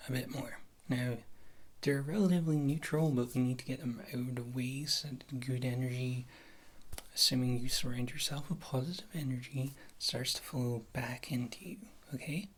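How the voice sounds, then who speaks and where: Woman playing a wry witch quest-giver, soft breathy whisper, slow rambling delivery, fantasy ASMR roleplay, close mic, audible hiss. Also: a bass voice. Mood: soothing, chatty. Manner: rambling, wry, gentle, unhurried. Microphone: close ASMR microphone.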